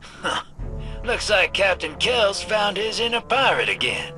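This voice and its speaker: An actor playing a cynical but kindly streetwise rogue in a video game, casual, teasing and folksy. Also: male voice, high voice, very animated, unhurried, pushed and bright, soft background music, full character voice. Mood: teasing, sincere. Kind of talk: video game cutscene acting.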